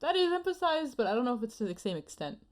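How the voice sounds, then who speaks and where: A woman's voice: fairly high pitch, sing-song, fast. A woman with an American accent talking casually as an anime panelist, reasoning aloud in a reflective way. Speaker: podcast panelist. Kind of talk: casual chat about anime.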